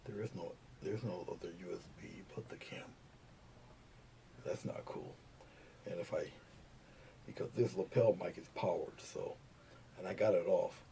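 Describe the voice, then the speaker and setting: A soft-spoken man with a low voice that does not project, talking casually to his live viewers as he paints at home. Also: fairly even intonation, a little slow, gravelly, long pauses. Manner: soft-spoken, mumbling asides while working. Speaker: painting livestream host. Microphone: camera's built-in mic, not the lapel mic. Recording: noisy, low-volume webcam audio.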